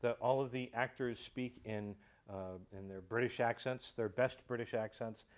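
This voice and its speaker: A man speaking as an interview guest, explaining in measured, somewhat formal phrases with some hesitation. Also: mid-range voice, steady tone. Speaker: guest, audio drama creator. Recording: faint background noise.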